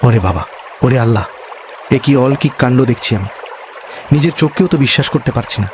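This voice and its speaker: Casting: male actor as modest, careful poor villager, earnest cartoon moraliser, mid-pitched, over clear birdsong ambience. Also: expressive, quick pace, background noise, lightly acted. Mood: awed. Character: humble, cautious poor village husband, content with little. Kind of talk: cartoon voice acting.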